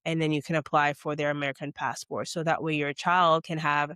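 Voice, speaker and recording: A woman's voice: sits low, flat delivery, a little fast, fairly bright tone, smooth, dry recording, studio quality. A woman from Jamaica giving a casual, chatty explainer as a vlogger, often checking in with "okay?" and "you know". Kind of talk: casual talking-head explainer.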